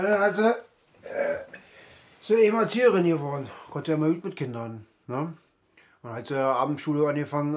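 An actor playing a stubborn, frail older man with a Berlin accent, rambling and repeating himself haltingly in a radio drama. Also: fairly high pitch, natural voice. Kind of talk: naturalistic radio drama dialogue.

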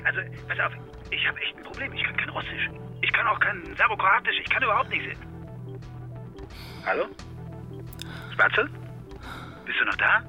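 An actor playing a fussy, worried boyfriend on the telephone in a radio drama, hesitant and full of questions. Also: male voice, high-pitched, very animated, normal speed, long pauses, pushed and bright, lo-fi sound, faint music behind, heavily acted. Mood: self-absorbed. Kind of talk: radio drama phone dialogue.

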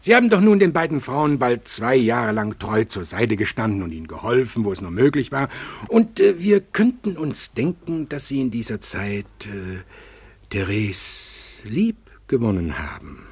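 An actor playing a fatherly, gently moralizing family friend in a German radio drama, earnest and hesitant as he picks his words. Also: mid-range voice, sing-song, moderate pace, slightly rough, no room sound. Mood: concerned, tactful.

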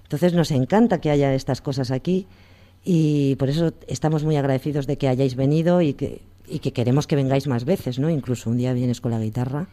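Give me a man hosting a relaxed radio talk, in a mellow voice. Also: mid-range voice, fairly quick. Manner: warm, rambling, many restarts. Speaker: radio host. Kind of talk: casual radio chat.

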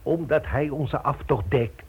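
An actor playing a practical, level-headed member of a criminal gang in a radio drama. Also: male voice, medium pitch, measured pace, husky. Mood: firmly explaining. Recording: tinny, narrow old radio recording.

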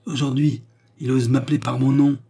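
Man acting a brutal, husky, taunting blind commissar. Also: medium pitch, normal speed, mellow, natural voice. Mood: bitter fury. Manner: taunting, domineering, exclamatory. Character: blind, brutal, vengeful commissar, sneering and raging.

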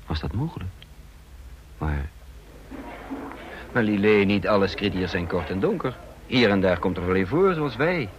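An actor playing a calculating double agent who poses as a counterintelligence chief, measured and probing in a radio play. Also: male voice, deep voice, dull audio. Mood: measured, musing. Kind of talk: Dutch radio play acting and first-person narration. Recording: noisy old radio play recording.

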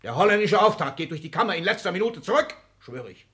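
Imperious old magnate, swelling into declamation. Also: male voice, high-pitched, sing-song, quick pace, exaggerated voice. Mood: boastful, fiery. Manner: imperious, declamatory, bursting from muted asides into shouts. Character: domineering seventy-year-old excellency, vain, combative industrial magnate. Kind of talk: 1960s German radio drama acting.

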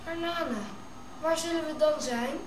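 A woman's voice: high-pitched, moderate pace, close to an everyday voice. A female performer playing an earnest, questioning young African boy in a radio drama. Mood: puzzled, worried. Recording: clearly noisy background.

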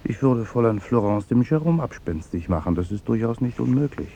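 An actor playing a discreet but nosy waiter, measured and dryly confidential, in a 1950s radio play. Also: male voice, mid-range voice, lively intonation, gravelly, warm tone. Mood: confiding. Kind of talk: 1950s German radio drama acting. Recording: faintly noisy 1950s radio recording.

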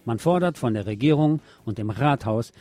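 A man's voice: mid-range voice, animated, moderate pace, dark tone, dry recording. A man presenting scripted local news on the radio, reading as a narrator of reports.

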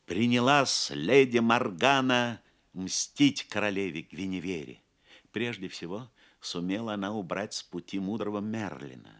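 A man narrating an audio play as a dramatic storyteller, theatrical and measured. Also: on the low side, animated, fairly bright tone.

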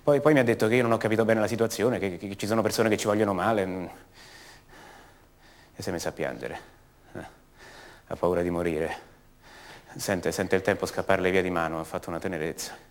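An actor playing an uneasy, brooding, paranoid man in a halting, word-repeating radio drama monologue. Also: low voice. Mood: subdued, wistful. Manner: halting, stammering, repeats words. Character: uneasy, brooding grown son, increasingly paranoid and agitated. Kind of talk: radio drama monologue, naturalistic theatrical acting.

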